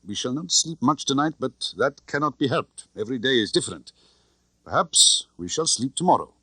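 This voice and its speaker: A man's voice: medium pitch, big pitch swings, normal speed, pushed and bright, husky, dry recording, heavily acted. A man voicing a tough, cheerful, confident male spy chief in an audiobook, with expansive, theatrical phrasing. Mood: cheerful, reassuring.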